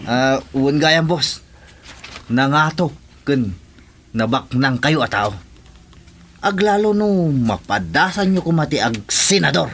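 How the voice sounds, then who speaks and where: A fawning henchman in a radio drama, highish in pitch and very slow in speech. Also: expressive, fairly bright tone, slight background noise, heavily acted. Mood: flattering.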